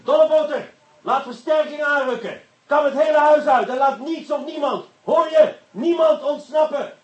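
A man playing a brisk, teasing police inspector who orders his men about in a Dutch radio play. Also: very high-pitched, muffled recording. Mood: urgent, commanding. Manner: wry, bossy, shifting from intimate murmur to barked orders.

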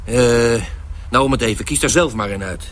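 A man playing an eccentric, mock-formal gentleman taxi passenger in an absurdist radio comedy. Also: mid-range voice, moderate pace, background noise, lightly acted. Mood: breezy. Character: eccentric, breezy gentleman passenger mumbling to himself. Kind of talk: absurdist comic radio drama acting.

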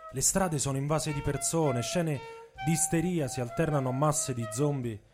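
A man reads a scripted dramatic story for radio theatre in measured, deliberate phrases with dramatic pauses. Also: a little high. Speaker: author and narrator. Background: music, saxophone and drums.

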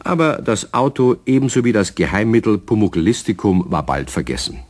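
Ein Schauspieler spricht den Erzähler eines Kinderhörspiels, freundlich und ruhig erzählend. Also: male voice, lowish voice, animated, moderate pace, a little mellow. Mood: friendly, storytelling. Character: male storyteller narrator of children's radio play. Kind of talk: scripted narration for children.

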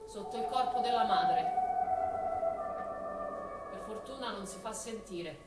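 A woman's voice: mid-range voice, a little slow, loud music behind the voice. A woman delivering dramatic scripted narration as a stage actress. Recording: echoey, distant, faintly noisy stage recording.

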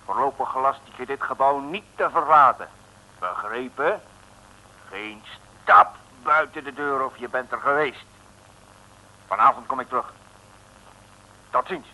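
An actor playing a menacing communist agent in a comic radio serial, speaking in stern, clipped commands. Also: male voice, medium pitch, measured pace, pauses often, pushed and bright, poor audio. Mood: threatening. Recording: old 1950s radio recording.

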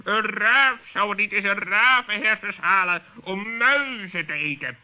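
A man's voice: high voice, measured pace. A man playing a haughty, sly, chattering raven in a children's radio play, self-righteous and defensive. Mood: disdainful. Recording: dull old radio play recording.

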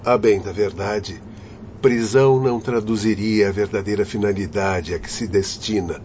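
A man acting a firm, patient doctor in a radio drama, speaking in a measured, formal, instructive way. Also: lowish voice, darkish tone, close to an everyday voice. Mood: explanatory. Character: firm, patient doctor guiding troubled spirits. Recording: dull, noisy lo-fi audio.

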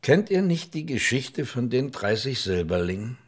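A husky, jovial castle steward. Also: male voice, mid-range voice, very animated, normal speed. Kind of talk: radio play acting.

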